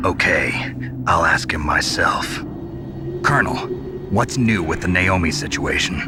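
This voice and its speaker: An actor playing a gruff, weary government agent in a video game, with a gravelly voice and clipped, skeptical questions. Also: male voice, low voice, lively intonation, measured pace. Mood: disbelieving. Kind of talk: dramatic video game voice acting. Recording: loud music behind the voice.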